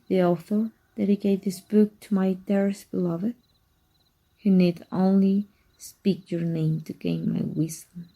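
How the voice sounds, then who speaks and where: A woman voice actor playing a tender, teasing evil magic teacher in an intimate ASMR roleplay, slow and hushed with a husky, breathy voice. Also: mid-range voice, low-quality recording. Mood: solemn, commanding. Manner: slow, hushed, deliberate, seductive.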